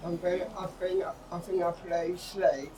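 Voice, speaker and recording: A man speaking casually in an interview, haltingly, often starting a phrase over before finishing it. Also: high-pitched, little pitch movement, dark tone, a little hiss or hum. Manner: halting, repeats phrase openings. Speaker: actor and drummer in a stage play being interviewed. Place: outdoors on a sea front. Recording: distant mic outdoors, faint music behind.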